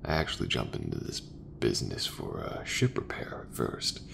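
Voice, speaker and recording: Casting: male actor as reassuring sci-fi repairman, soft ASMR roleplay murmur, extremely low and heavily creaky. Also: very animated, heavily acted. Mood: reflective, easygoing. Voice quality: very low, soft, breathy murmur, often near whisper. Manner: unhurried, reassuring, folksy. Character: gentle, reassuring human mech mechanic man, sci-fi.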